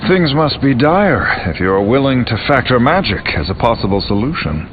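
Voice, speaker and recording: A man's voice: mid-range voice, big pitch swings, normal speed, faint music behind, natural voice. A man playing an urbane, smug sorcerer in a video game, arch, sardonic and dryly teasing. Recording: muffled, clearly noisy game ambience.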